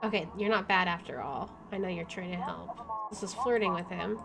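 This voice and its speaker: A woman's voice: lowish voice, fairly quick, vocal fry. A woman doing playthrough commentary and reading game text aloud, with a playful, wisecracking manner. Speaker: video game let's player.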